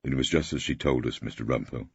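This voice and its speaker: A lugubrious, polite older gentleman solicitor in an audiobook, voiced by a male reader. He speaks in measured, formal sentences with a husky tone. The recording is dry and a little dull. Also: rumbling low, no echo, pitched below the performer's own voice, heavily acted. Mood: solemn.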